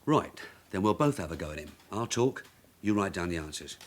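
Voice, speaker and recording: Quick-talking, sardonic detective. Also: male voice, low-pitched, slight background noise. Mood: brisk, shrewd. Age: middle-aged. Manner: deadpan, sarcastic. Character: shrewd, weary, sardonic veteran male detective sergeant. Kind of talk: radio drama acting.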